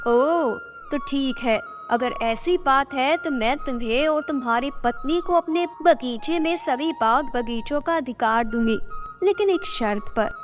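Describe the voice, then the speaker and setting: A woman's voice: high-pitched, a little slow, in a large hall, soft background music. A woman voicing an angry, commanding witch in a children's story, threatening and drawing out her exclamations. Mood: menacing, scheming. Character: angry, menacing female witch who bargains for a child.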